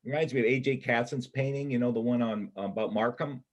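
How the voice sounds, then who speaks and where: A man playing a wry, self-doubting ex-convict loner with a husky voice and blunt, colloquial speech in a reader's theater play. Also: medium pitch, brisk, lightly acted. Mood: admiring. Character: Kip, wounded ex-convict drifter, bookish loner, self-doubting. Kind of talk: stage reader's theater dialogue.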